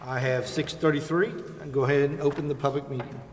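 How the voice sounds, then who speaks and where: A man presiding over a city council meeting, reading agenda items and calling votes in a brisk, businesslike way. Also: on the high side, fairly even intonation. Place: council chambers. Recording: reverberant chamber with faint hiss.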